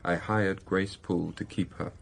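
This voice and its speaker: An actor playing a brooding, passionate middle-aged master of a country estate in a period radio drama, gravelly and intense. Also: male voice, deep voice, steady tone, normal speed, full character voice. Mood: brooding, regretful. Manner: intense, confessional, pleading.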